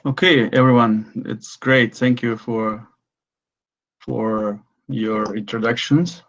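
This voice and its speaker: A man casually hosting a live online meetup, speaking haltingly as he thinks aloud. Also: medium pitch, steady tone, fairly bright tone, highs cut off. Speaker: host of an online study event.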